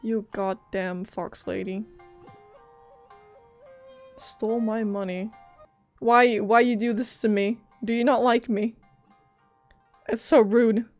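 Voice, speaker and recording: A woman game streamer chatting casually and playfully as she reacts to a story game on a close mic. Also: a little high. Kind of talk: casual reactions and chat over a game playthrough. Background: faint game music.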